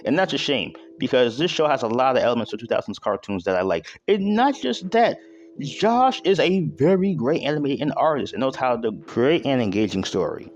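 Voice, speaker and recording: A man hosting an enthusiastic video essay, speaking in an informal, slangy and emphatic way. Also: on the low side. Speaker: host.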